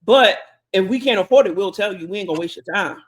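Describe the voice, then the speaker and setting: Woman co-host, mid-pitched, lively casual storyteller, plays out both voices of a chat. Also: quick pace. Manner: animated, acts out conversations in quotes. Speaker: podcast co-host.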